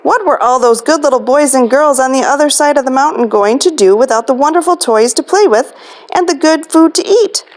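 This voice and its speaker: A woman's voice: sits high, brisk. A woman hosting a children's storytime as a librarian, reading a picture book aloud.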